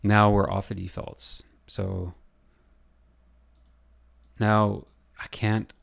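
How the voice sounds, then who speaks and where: Low, gravelly man with a scratchy voice. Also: level tone. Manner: thinking aloud, halting with fillers. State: hoarse, losing his voice. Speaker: building designer. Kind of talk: software tutorial screencast.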